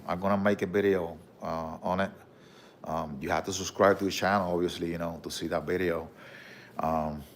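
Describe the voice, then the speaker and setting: A man with a Puerto Rican accent hosting a casual livestream, reading viewer comments and showing off his collection, with a relaxed manner and frequent "bro" and "okay?". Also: low-pitched, a little hiss or hum. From Puerto Rico. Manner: relaxed, repeats "bro" and "okay?", many fillers.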